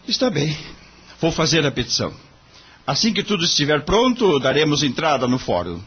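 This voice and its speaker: A courteous young lawyer from an audio drama, played by a man. His voice is a little high and slightly husky, with formal, deferential phrasing. The sound is somewhat muffled. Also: very animated, moderate pace, fairly bright tone, heavily acted. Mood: courteous, concerned. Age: young adult.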